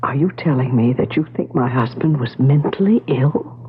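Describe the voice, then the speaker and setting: A woman's voice: rumbling low, normal speed, slightly rough, heavily acted. An actress playing an anxious, insistent wife of a missing man in a radio mystery, strained and questioning. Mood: indignant, worried. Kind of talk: radio mystery drama acting. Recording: dull old radio broadcast.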